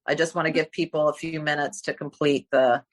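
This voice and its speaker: A woman facilitating a recovery workshop over a video call, speaking gently and haltingly with many fillers. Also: low voice, level tone. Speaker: workshop leader.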